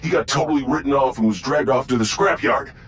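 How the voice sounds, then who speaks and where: A man's voice: mid-range voice, fast talker, fairly bright tone, natural voice. A man playing a gossipy, nervous henchman guard in a video game. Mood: uneasy. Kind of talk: video game cutscene acting. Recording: game music behind the voice.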